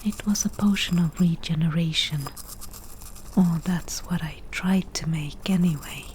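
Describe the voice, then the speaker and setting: A woman playing a chatty, mildly wicked forest witch in an ASMR roleplay, whispering softly and musingly as she talks. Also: fairly low pitch, a little hiss or hum, close to an everyday voice. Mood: wry reminiscing. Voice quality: soft breathy whisper. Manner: unhurried, musing, conversational.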